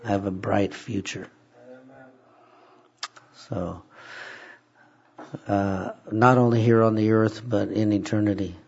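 A man with a raspy, hoarse voice leading a church service, talking casually and haltingly with many fillers. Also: fairly low pitch, fairly even intonation, darkish tone. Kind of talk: casual talk from the pulpit. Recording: dull lo-fi church recording.

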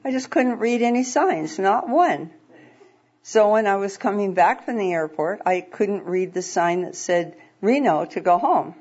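A woman's voice: mid-range voice, expressive, unhurried, darkish tone, breathy edge. A woman from the congregation sharing a personal testimony, telling her story earnestly and plainly with some fillers. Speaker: congregation member giving a testimony. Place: church service. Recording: dull lo-fi church recording.

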